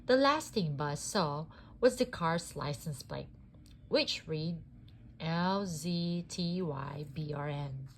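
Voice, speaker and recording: A woman reads a children's storybook aloud. Her voice sits fairly low and moves slowly, with very lively, expressive rises and falls. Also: a little hiss or hum. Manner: deliberate, expressive. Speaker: storybook reader. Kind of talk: children's read-aloud narration.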